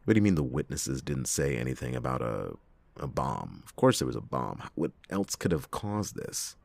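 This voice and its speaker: A man playing a cynical, reluctant, hard-drinking private detective in a noir ASMR roleplay, with a husky, gravelly voice and sardonic, theatrical delivery. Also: extremely low pitch, very animated, a little mellow, no room sound, heavily acted. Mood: exasperated, sardonic. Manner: sardonic, theatrical, halting speech with drawn-out emphasis. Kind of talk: ASMR detective roleplay, acted monologue to listener.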